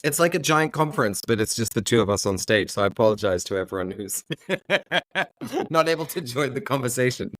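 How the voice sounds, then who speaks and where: Guy, grew up speaking dutch, friendly podcast guest, thinks out loud. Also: medium pitch, lively intonation, brisk, no echo. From England. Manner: thoughtful, self-correcting, friendly. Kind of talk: conversational interview.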